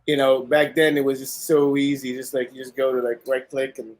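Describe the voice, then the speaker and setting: A man's voice: mid-range voice, little pitch movement, fairly quick. A man from Kenya, a guest on a podcast, speaking casually and hesitantly with many fillers. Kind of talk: casual conversation.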